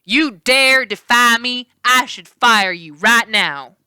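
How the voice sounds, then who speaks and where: A woman voicing a smug, scheming male rancher villain who talks in a slow, condescending drawl. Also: lowish voice, animated, sharp tone, smooth, dry recording, studio quality, heavily acted. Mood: angry.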